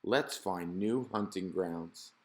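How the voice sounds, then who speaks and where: Guy doing a huge blue whale from a kids book, proud but soft hearted, lowish pitch. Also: slow. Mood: friendly. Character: huge male blue whale, proud, easily hurt, gentle underneath.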